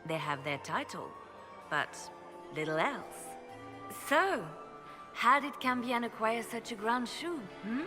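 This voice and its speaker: An actress playing a poised, sly female spymaster in a video game, speaking in a measured, arch, confiding way. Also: fairly high pitch, lively intonation. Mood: arch, disdainful. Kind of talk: video game dialogue acting. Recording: music behind the voice.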